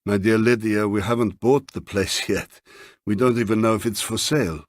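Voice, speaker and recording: A cautious, slightly worried husband in an audiobook, acted out by a man. He speaks on the low side, in a measured, reserved way, reasoning gently. The sound is dry and close. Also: steady tone, no echo, close to an everyday voice. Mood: gently chiding.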